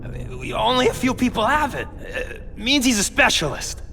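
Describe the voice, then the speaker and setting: A man's voice: very high voice, very animated, moderate pace, creaky, pushed and bright, faint music behind, exaggerated voice. A man playing a jittery, blustering street criminal in a video game cutscene, stammering and tagging his lines with nervous questions. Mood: nervous, evasive. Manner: stammering, punctuates talk with questioning tags.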